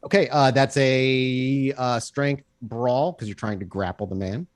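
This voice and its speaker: A man's voice: mid-range voice, steady tone, a little slow. A man running a tabletop role-playing game, improvising descriptive narration in a conversational way as storyteller. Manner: descriptive, conversational, checks in with players.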